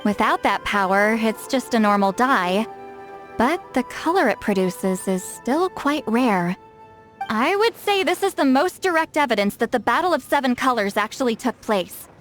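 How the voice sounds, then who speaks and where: Young game scholar, fairly high voice, knowing, cheeky, self-assured, faint music. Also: female voice, expressive, normal speed, fairly bright tone. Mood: explanatory. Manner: confident, explanatory, cheeky. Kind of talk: video game voice acting.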